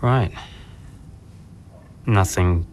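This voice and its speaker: An actor playing a bewildered, protective heir in a video game drama, questioning and unsure. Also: male voice, low-pitched, extremely slow, slight background noise. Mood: confused. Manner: questioning, uncertain. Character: bewildered male heir, protective of his sibling. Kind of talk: naturalistic video game cutscene acting.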